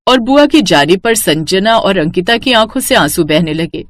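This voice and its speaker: Woman narrator, kids' cartoon storybook, bouncy animated pitch. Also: moderate pace, no echo. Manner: lively, switches into character voices. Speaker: narrator voicing all characters.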